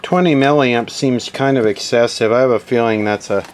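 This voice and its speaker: A man's voice: mid-range voice, normal speed, a little hiss or hum. A man giving a casual, matter-of-fact running commentary as he does hands-on repair work, thinking aloud. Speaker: electronics repair video host.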